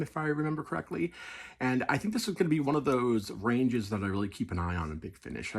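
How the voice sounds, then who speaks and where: A man's voice: medium pitch, husky. A middle-aged man giving an enthusiastic, conversational, casual review.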